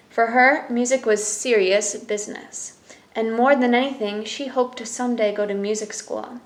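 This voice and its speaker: Woman reading a storybook in an echoey room. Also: on the high side. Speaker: storyteller reading a picture book aloud. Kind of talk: read-aloud children's story narration with brief explanatory asides.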